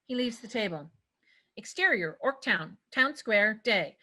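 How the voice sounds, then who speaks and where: A woman narrates a script, reading out stage directions. Her voice is bright and forward, sitting at a medium pitch. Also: lively intonation, measured pace.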